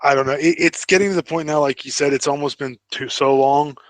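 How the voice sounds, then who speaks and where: A man's voice: a little high, fairly even intonation, brisk, no echo. A man co-hosting a podcast, speculating casually in conversation. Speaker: podcast co-host. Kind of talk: casual speculative film and TV discussion.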